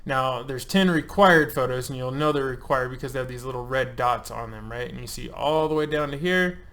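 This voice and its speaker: A man's voice: mid-range voice, fairly quick, a bit bright. Chatty man in his thirties walking through a form. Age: 30s. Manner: loose, chatty, joking, with frequent ums and uhs. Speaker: channel host. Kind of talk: casual screen-share walkthrough.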